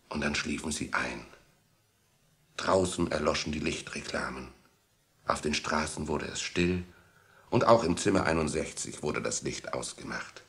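A man's voice: extremely low pitch, a bit bright. A man narrating a children's story as the narrator of a 1960s radio play.

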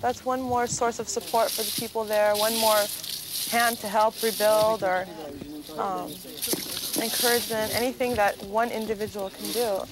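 A woman's voice: a little high, steady tone, normal speed. Young woman, earnest, noisy backdrop. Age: young adult. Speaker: student volunteer. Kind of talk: interview answers.

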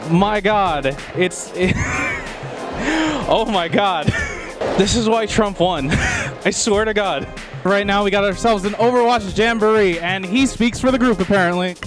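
Young male mock reporter, brash and high-voiced, handheld mic, music bed. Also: measured pace. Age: young adult. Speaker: mock news correspondent. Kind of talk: comedic on-the-street interviewing. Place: busy convention hall.

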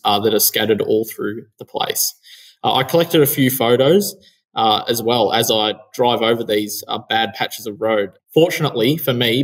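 A man's voice: mid-range voice, fairly bright tone, rough recording. A young man with an Australian accent hosting a casual chat over a video call from an office, reading parts from a script. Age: young adult. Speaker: host. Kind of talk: casual interview with partly read script. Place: office room, indoors. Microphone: headset on video call.

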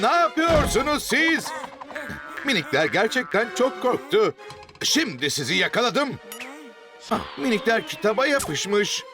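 A man narrating a children's cartoon in an exclamatory, theatrical way, with frequent rhetorical questions. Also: high-pitched. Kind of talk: animated scripted cartoon narration. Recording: music under the voice. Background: music.

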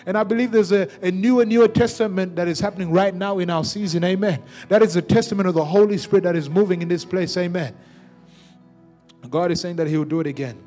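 A man's voice: high voice, fairly even intonation, quick pace, warm tone, soft background music. A young man preaching a sermon on a church stage into a handheld microphone, punctuating his points with repeated amens.

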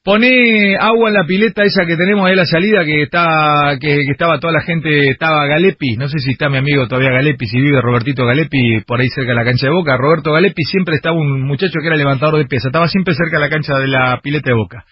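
An Argentine man with a Rioplatense accent holds forth on radio in a theatrical, rambling rush. His voice is husky and slightly high. The sound is dry and muffled. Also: fairly even intonation, fast talker, hardly any pauses, dry recording. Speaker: radio show host. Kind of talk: radio banter. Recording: dull muffled radio broadcast.

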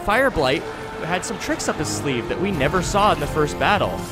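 A man's voice: on the high side, animated, moderate pace. A man giving casual gameplay commentary into a close desktop microphone, rambling as he talks himself through the action. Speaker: let's play host. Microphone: close desktop USB microphone. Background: game music.

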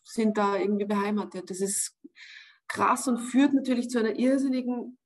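Lively Austrian woman on a video call. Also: a little high, normal speed. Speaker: actress, interview guest. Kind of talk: casual interview over video call. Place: room at home. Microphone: video call webcam.

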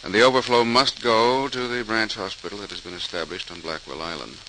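Deep, forceful senior doctor. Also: male voice, steady tone, fairly quick, low-quality recording. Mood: grave, brisk. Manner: formal, commanding. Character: grave, authoritative senior hospital doctor. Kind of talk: old-time radio acting. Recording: old radio recording, faint hiss.

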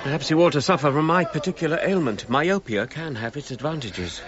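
An actor playing a reserved, authoritative British archaeologist in a radio drama, speaking formally with clipped phrasing. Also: male voice, fairly high pitch, a little fast, highs cut off, close to an everyday voice. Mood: intrigued, dry.

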